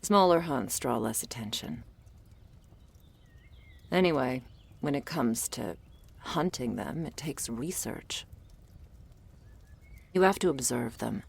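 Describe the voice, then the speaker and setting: A woman playing a gruff, world-weary female monster hunter in an ASMR audio roleplay, curt, sardonic and bossy as she addresses the listener. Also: lively intonation, slow, pauses often, darkish tone, full character voice. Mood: serious, measured. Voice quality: low, husky, breathy with gravelly creak.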